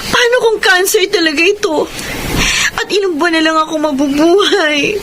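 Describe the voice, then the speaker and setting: An actress playing a frightened, crying thirty-year-old Filipino daughter in a radio drama. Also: female voice, very high voice, moderate pace, background noise. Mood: frightened, sobbing. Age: 30s. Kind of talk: Tagalog radio drama acting.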